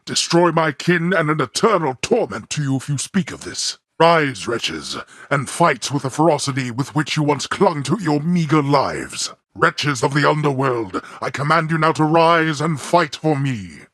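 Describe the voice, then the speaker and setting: A man playing a stern, imperious god of the underworld and disapproving father in a video game, with haughty, formal, deliberate delivery and a gravelly, husky voice. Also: a little high, expressive, fairly bright tone, no echo, clean studio sound. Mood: commanding. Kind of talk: theatrical video game voice acting.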